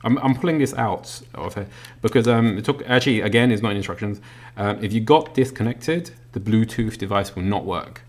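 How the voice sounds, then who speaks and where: A man with a deep voice walks through a product unboxing in a loose, explanatory way. His voice has a rough, gravelly edge, and he fills his pauses with ums and uhs while he fiddles with the device. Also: a little hiss or hum. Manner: demonstrating a gadget while talking. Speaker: product reviewer. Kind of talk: unboxing walkthrough.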